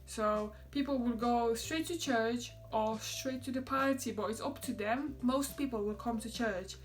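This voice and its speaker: A young woman from Poland with a Polish accent, chatting to camera in a relaxed vlog and laughing often. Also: measured pace, a little hiss or hum. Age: young adult. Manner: chatty, laughs often, quotes others in a higher voice. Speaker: vlogger. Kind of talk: casual chat to camera.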